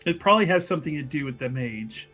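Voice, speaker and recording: A man's voice: a little high, animated, fast talker, a bit bright, soft background music. A man playing a tabletop roleplaying game, narrating a character casually in a wry, discursive way.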